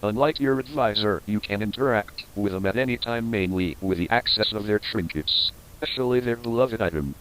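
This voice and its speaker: Low-voiced man, formal instructional narrator. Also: fairly quick, hardly any pauses, fairly bright tone, a little hiss or hum, rough recording. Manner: formal, explanatory. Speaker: narrator of an instructional horror tape. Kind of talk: formal scripted narration, mock instructional video.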